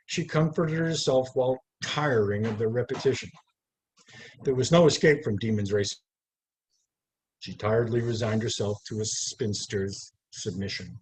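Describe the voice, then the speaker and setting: A man reading his poetry aloud over a video call, in a deliberate, theatrical way with measured pauses. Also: medium pitch, a little mellow, breathy edge, poor audio. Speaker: poet reading own work. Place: live online video call. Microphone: video call microphone.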